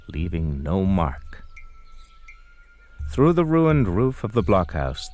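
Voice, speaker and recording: An actor playing a solemn, brooding storyteller, narrating a radio drama with poetic, deliberate delivery. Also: male voice, deep voice, exaggerated voice. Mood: brooding, ominous. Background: faint music.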